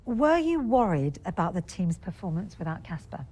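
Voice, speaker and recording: An actress playing a probing documentary interviewer with a husky voice, asking calm questions in a game cutscene. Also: female voice, on the low side, normal speed.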